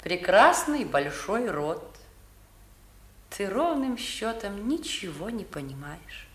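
An admiring mother in a vintage radio drama of the 1960s. Her pitch is moderate but swings widely. She speaks with rapturous, theatrical feeling. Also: big pitch swings, takes it slowly, fairly bright tone, a little hiss or hum.

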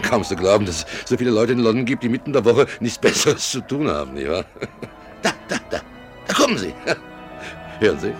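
A flustered, apologetic man in a crowd from a 1950s radio play. He stammers and repeats himself, his pitch swinging widely, with faint music behind him. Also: sing-song, fairly quick. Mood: chatty, excited. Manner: stammering, repetitive, giggly. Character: flustered, apologetic, chatty male bystander in a crowd.

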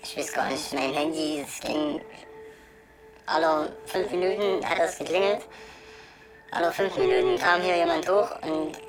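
A woman talking casually and candidly as an interviewee, colloquial and halting, often adding little tag questions. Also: deep voice, poor audio. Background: music.

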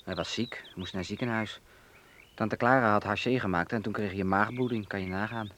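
An actor playing an offhand, matter-of-fact young brother in a Dutch radio drama. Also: male voice, fairly low pitch, fairly even intonation, fairly quick, a bit bright, close to an everyday voice. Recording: noisy, clicks and outdoor birdsong.

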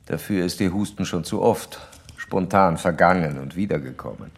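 An actor playing a self-assured psychoanalyst in a radio drama, speaking in measured, formal, didactic sentences. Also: male voice, sits low, expressive, creaky, husky, full character voice. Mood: clinical, probing. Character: male psychoanalyst doctor, clinical, probing, self-assured. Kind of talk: radio drama dialogue and letter monologue.

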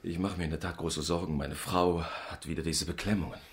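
Low-voiced, trusting, earnest husband. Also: male voice, little pitch movement, brisk. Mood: eager, concerned. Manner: earnest, eager, urging. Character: well-meaning, trusting, unsuspecting husband and country doctor. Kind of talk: radio drama acting.

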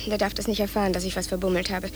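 Quick high voice, woman acting harried young clerk, flustered, pleading, radio drama, faint hiss. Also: little pitch movement, lightly acted. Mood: urgent pleading. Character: harried, anxious young female office employee. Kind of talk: German radio play acting.

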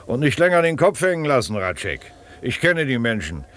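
An actor playing a formal, reasonable court counsellor with a commanding manner in a German radio drama. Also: male voice, medium pitch, big pitch swings, normal speed, a bit bright. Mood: reassuring. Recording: noisy background ambience.

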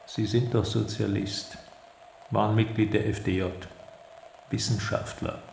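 A state security interrogator from a radio play, speaking in an echoey room. His low voice is heavily creaky and gravelly. He is measured and authoritative, coaxing and threatening in the same controlled manner. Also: level tone, a little mellow. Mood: cool, threatening. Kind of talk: radio drama acting. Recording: echoey room with faint noise.

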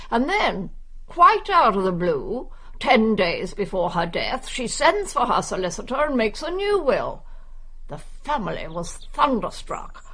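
A woman's voice: mid-range voice, fairly bright tone, heavily acted. An actress playing a nosy, brusque older English village woman in a radio drama, gossiping wryly. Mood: wry, confiding.

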